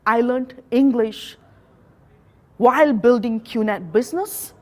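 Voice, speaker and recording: A woman from Malaysia, not a native English speaker, giving an earnest motivational talk with slow, emphatic phrases. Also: a little high, dark tone. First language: a Malaysian local language.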